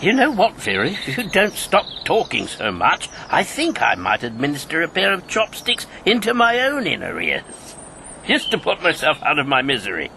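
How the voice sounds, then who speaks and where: A man's voice: a little high, huge pitch swings, normal speed, pushed and bright, heavily acted. An actor playing a talkative, needling British ex-agent in his fifties in a radio drama, rambling and sardonic. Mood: sardonic, exasperated. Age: 50s. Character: talkative, needling fifty-year-old male ex-wartime agent. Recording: clear background noise with birdsong.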